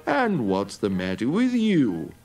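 A man's voice: mid-range voice, wildly swooping pitch, slow pace, a little mellow, soft background music, full character voice. A man voicing a friendly male donkey who coaxes the others along. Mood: coaxing. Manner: friendly, encouraging and coaxing. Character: kindly male donkey, leader of the group.

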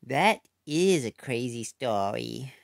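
A woman's voice: very deep voice, animated, takes it slowly, creaky, no room sound, clean studio sound, heavily acted. A woman reading a picture book aloud, voicing a curious pig friend who keeps asking questions. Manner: curious, wide-ranging questioning voice. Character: eager little pig friend.

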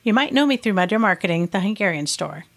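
A middle-aged American woman hosting a podcast, reading a scripted narration in a clear, patient, explanatory way. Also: mid-range voice, animated, fast. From United States. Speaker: podcast host.

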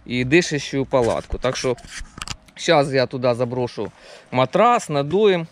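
A man's voice: mid-range voice, animated, unhurried, slight background noise. A man narrating a casual camping vlog outdoors, talking to viewers. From Ukraine. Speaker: camping vlogger. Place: outdoors at a forest campsite.

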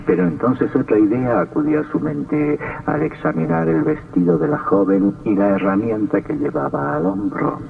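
Male narrator for vintage radio horror: ominous, heavy creak, thin phone-like band. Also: mid-range voice, lively intonation, moderate pace, hardly any pauses. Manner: dramatic, ominous. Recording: tinny, distant old radio recording, faint music.

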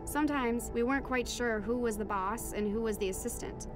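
A woman playing a marine biologist's daughter, telling her undersea adventure in measured, dramatic first-person narration. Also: a little high, over music, close to an everyday voice. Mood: reflective.

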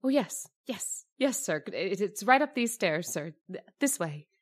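A woman's voice: medium pitch, lively intonation, measured pace. A woman playing a flustered, fussing landlady. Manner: flustered and stammering, eager to please. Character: anxious, eager landlady, middle-aged, thrifty. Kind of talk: radio drama acting.

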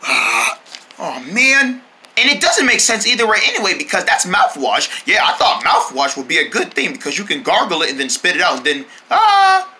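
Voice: huge pitch swings, moderate pace. One-person paper-puppet skit with shifting voices. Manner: switches between squeaky and low put-on voices, lots of shouting and crying. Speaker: puppeteer voicing paper cutout characters. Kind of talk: homemade paper puppet skit, one performer voicing all characters.

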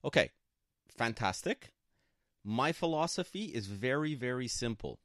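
A man's voice: medium pitch, animated, measured pace, a bit bright, no room sound, clean studio sound. A man co-hosting a conversational radio advice show, speaking in an emphatic, assertive way and repeating points for stress. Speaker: radio show co-host and broker. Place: radio studio.

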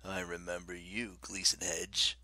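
Man doing a low, silky werewolf king. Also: flat delivery, measured pace, full character voice. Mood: menacing. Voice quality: low, quiet, silky menace. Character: menacing ancient werewolf king, mocking.

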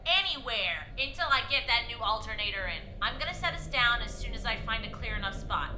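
A woman's voice: a little high, expressive, a little fast, a little hiss or hum, close to an everyday voice. A woman playing a blunt, bossy, no-nonsense pirate pilot in a science fiction radio drama. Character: brash, no-nonsense female airship pirate pilot. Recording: echoey, music behind.

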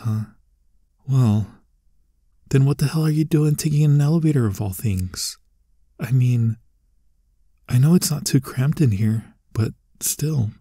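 A man's voice: mid-range voice, slightly rough, no room sound, clean studio sound. A man playing a tsundere young bully, teasing but secretly caring, in an ASMR roleplay with a hesitant delivery. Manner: hesitant, stammering, softly spoken asides.

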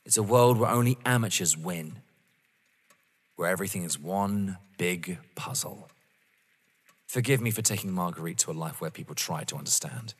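Man acting defiant contemptuous rival, raspy-edged husky voice, emphatic, audio drama, long echoing reverb. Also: deep voice, moderate pace. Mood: cold contempt. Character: defiant, contemptuous male rival, wounded but resolute. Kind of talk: dramatic stage-play acting.

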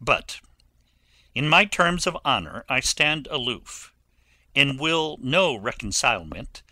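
A man's voice: medium pitch, pushed and bright, no echo, heavily acted. An actor playing a proud young nobleman who guards his honour, speaking formally in a Shakespearean dramatic reading. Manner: deliberate, formal.

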